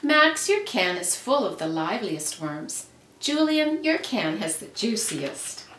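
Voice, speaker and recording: A woman voicing a gentle, loving storybook mother who speaks with tender reassurance. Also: medium pitch, sing-song. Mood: praising, reassuring. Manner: tender, reassuring, unhurried praise. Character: gentle, loving mother of two young boys. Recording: roomy, noisy, distant microphone.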